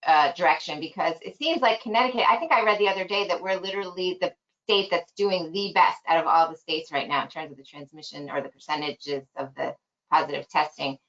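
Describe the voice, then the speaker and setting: A woman's voice: fairly low pitch, brisk, a bit bright, lo-fi sound. A woman who chairs a school board, asking a long, thoughtful question in an online meeting and thinking aloud as she goes.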